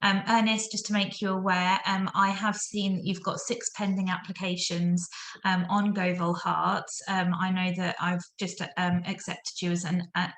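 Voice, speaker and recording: A woman's voice: on the low side, little pitch movement, moderate pace, roomy sound, low-quality recording. A woman hosting an online event on a video call, full of thanks and praise for her guests. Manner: effusive, warm thanks and praise. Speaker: event host.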